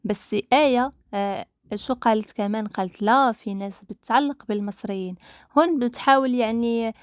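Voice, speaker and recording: A woman's voice: a little high, brisk, clear, resonant tone, studio quality. A woman from the Maghreb co-hosting a casual chat, often agreeing and echoing her partner. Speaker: co-host.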